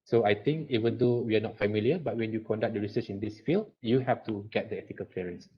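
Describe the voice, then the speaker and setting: The low Malaysian male voice you would hear from a lecturer taking questions in an online seminar, over slightly muffled call audio. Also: fast. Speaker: university lecturer and design researcher. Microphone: video call.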